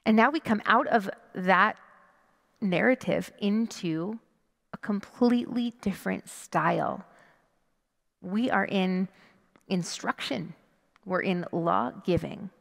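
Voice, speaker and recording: Creaky-voiced woman preaching in a reverberant hall. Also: mid-range voice, takes it slowly, long pauses. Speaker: rabbi. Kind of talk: sermon. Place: large indoor sanctuary. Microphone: headset microphone. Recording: long reverberant hall echo.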